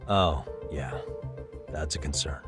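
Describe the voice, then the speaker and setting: A man's voice: very deep voice, slow pace. An actor playing an easygoing adult male private detective in a video game dub, casual and dryly understated. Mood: concerned. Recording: music under the dialogue. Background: music.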